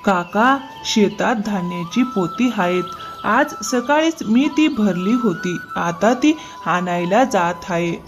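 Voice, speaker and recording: Lady doing a earnest young farmer guy for a cartoon, polite, slow, bit of music behind. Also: medium pitch, expressive. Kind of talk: animated moral story dialogue.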